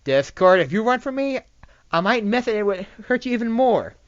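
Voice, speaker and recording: A reader voicing a domineering pony princess who yells threats at her prey in a loud, forceful way. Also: mid-range voice, very animated, moderate pace, no room sound, lo-fi sound, pitched above the performer's own voice, lightly acted. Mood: menacing.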